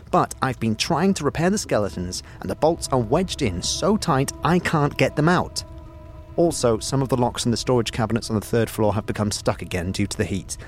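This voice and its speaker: A man's voice: medium pitch, voice pushed up, close to an everyday voice. A man voicing a formal, fussy, exasperated mortuary caretaker reading out a written request in an audio drama.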